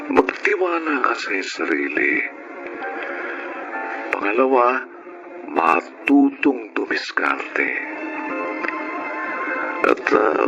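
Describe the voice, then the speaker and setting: A man's voice: on the low side, full character voice. A man playing a self-made entrepreneur and writer who narrates a life story in a radio drama, earnest and encouraging. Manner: measured, earnest, advising. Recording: dull, band-limited radio sound. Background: music under the voice.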